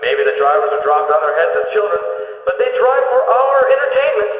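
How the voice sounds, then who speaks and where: A man voicing an excitable, jokey race announcer in a video game, with a booming showman's delivery and drawn-out words. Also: faint music behind. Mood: wry, rousing. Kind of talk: arena sports announcing, scripted game voice lines. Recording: echoing PA in a big venue, distant, dull.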